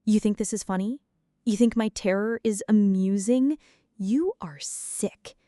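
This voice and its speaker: A woman's voice: medium pitch, unhurried, warm tone, dry recording, studio quality, close to an everyday voice. A woman acting a jumpy, flirty, dramatic friend in an ASMR roleplay, talking to the listener in a teasing, mock-indignant way. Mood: indignant, clingy.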